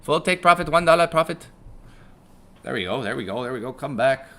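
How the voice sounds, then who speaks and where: A man's voice: medium pitch, animated, brisk, a bit bright. A young man hosting a casual live stream, rambling, chuckling and swearing casually as he repeats himself. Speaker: live-stream trading host.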